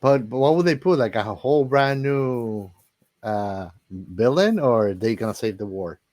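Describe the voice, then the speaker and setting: A man's voice: medium pitch, expressive, measured pace, darkish tone. A man co-hosting a film podcast in casual discussion, speaking informally in short reactions and questions. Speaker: podcast co-host.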